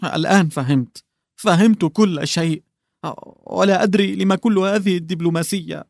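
A man's voice: high-pitched, normal speed, vocal fry, dry recording, clean studio sound, pitched above the performer's own voice. A man voicing a distraught, tearful male colonel who pleads and questions anxiously.

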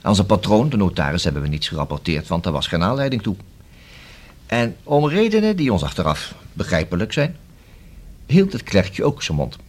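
Deep, husky police inspector. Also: male voice, big pitch swings, normal speed, a little mellow. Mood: explaining, intent. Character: male police inspector, probing, courteous but blunt. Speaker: actor in a radio play. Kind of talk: Dutch radio drama dialogue.

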